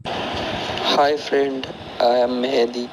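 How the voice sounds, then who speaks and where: A man's voice: mid-range voice, little pitch movement, extremely slow, background noise, pitched above the performer's own voice. A man giving a short recorded greeting through robot, monster and telephone voice-changer filters. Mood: flat greeting. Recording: processed voice-changer playback.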